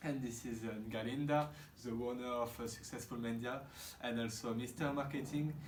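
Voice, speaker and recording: A man from France asks the questions in a casual interview, hesitant and halting with frequent fillers. His voice sits back from the mic in a roomy, echoing space, with faint background hum. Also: mid-range voice, steady tone. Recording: echoey room, mic at a distance, faint ambience.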